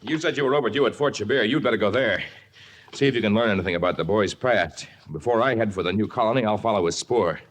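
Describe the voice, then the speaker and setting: An actor playing a grave, brave jungle lord with formal, eloquent phrasing in an old-time radio adventure. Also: male voice, fairly low pitch, fast, lightly acted. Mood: urgent, concerned. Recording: noisy vintage radio recording.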